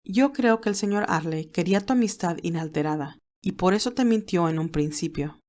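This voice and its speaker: A woman playing a wise, gentle older motherly mentor, speaking in a measured, reassuring way in an audio drama. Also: lowish voice, natural voice. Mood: gentle counsel. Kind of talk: audio drama character acting.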